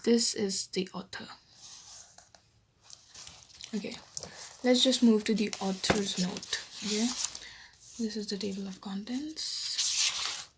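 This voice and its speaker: A woman's voice: mid-range voice, takes it slowly, pauses often. Woman, casual book flip-through, background noise. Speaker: book vlogger.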